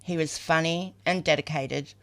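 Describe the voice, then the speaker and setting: A woman's voice: low-pitched, fairly even intonation, normal speed, creaky. An Australian woman reading scripted tributes as a podcast reader.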